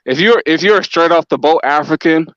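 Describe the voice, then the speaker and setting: A man's voice: fairly high pitch, fairly quick, fairly bright tone, no echo. A man of Nigerian descent raised in the US hosts a casual panel talk. He speaks hesitantly, with many ums and restarts. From United States. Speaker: talk show host. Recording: dull lo-fi dry sound.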